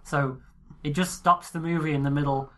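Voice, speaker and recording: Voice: mid-range voice, steady tone, moderate pace. A podcast co-host in casual chat who swears freely, laughs mid-sentence and often trails off and restarts.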